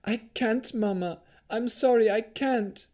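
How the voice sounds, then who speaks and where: A man voicing an exhausted, frightened little girl in a fantasy story, pleading in a thin, high voice. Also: slow pace, darkish tone. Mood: tearful, exhausted.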